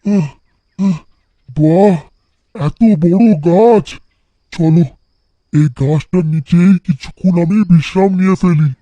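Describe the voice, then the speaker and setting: A man's voice: high voice, slow pace, pauses often, dark tone, no room sound, highs cut off. A man playing a poor, weary, worried bangle seller who sighs plaintively in a cartoon folktale. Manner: plaintive, sighing. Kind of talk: animated folktale voice acting.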